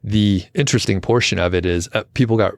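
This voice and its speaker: A man hosting a podcast intro in a casual, rambling, self-deprecating way, his voice still a little gravelly from overuse. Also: lowish voice, quick pace, no echo, clean studio sound. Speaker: podcast co-host. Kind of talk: casual solo intro chat.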